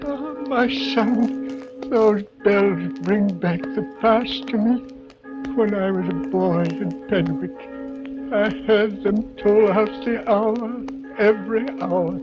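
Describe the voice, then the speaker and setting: An actor playing a frail, sentimental old father near death, speaking haltingly in a weak voice, in a 1940s radio drama. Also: sits high. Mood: rapturous nostalgia. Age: older. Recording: muffled vintage radio broadcast, faint music.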